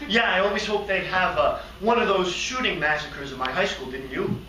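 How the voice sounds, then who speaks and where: A man playing an odd, naively earnest hotel receptionist who rambles and daydreams in a halting, excitable comic stage monologue. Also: sits high. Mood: rambling, earnest. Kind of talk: theatrical comic monologue performance. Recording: roomy, distant mic, faint noise.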